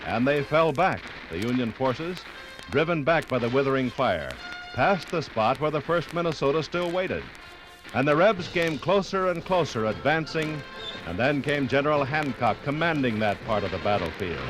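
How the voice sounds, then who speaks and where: A man's voice: medium pitch, lively intonation, normal speed, rough recording. A man giving solemn, dramatic scripted narration in a 1950s radio style. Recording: 1950s radio recording, music behind.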